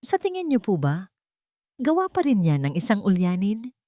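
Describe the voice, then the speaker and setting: A woman voicing a calm, wronged elderly mother in a dramatic story, cold and deliberate. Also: mid-range voice, no echo, studio quality. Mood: quiet challenge.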